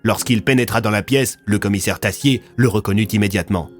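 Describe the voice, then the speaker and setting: A man's voice: fairly low pitch, fairly quick, soft background music. A man narrating a scripted audio fiction in a storytelling style.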